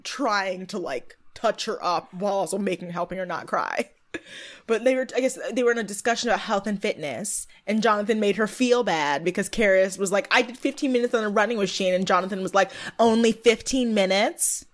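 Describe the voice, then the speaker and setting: A woman in her late twenties, co-hosting a podcast, recapping a TV episode in casual, profane, lively chat. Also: medium pitch, a little fast. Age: 20s. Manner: animated, profane, lively storytelling. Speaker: podcast co-host.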